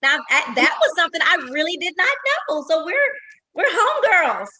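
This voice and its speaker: A woman host from Houston chatting with a guest, friendly and quick to react with enthusiasm. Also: extremely high pitch, big pitch swings, background noise. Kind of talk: casual interview chat.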